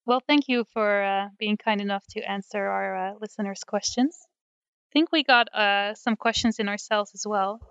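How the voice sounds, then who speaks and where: A Dutch-accented woman co-hosts a casual podcast with a bright, crisp and smooth voice. She speaks softly and often lets her sentences fade out. The sound is dry and close. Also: medium pitch, moderate pace, no room sound, muffled recording. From Netherlands. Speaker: podcast co-host. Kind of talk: casual chat.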